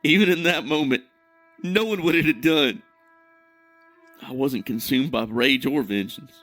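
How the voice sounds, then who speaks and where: A haunted, remorseful man played by an actor delivers a dark fantasy monologue in a medium-pitched voice. He broods on his own weakness with heavy dramatic pauses, and music plays underneath. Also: dry recording, natural voice. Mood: anguished bitterness.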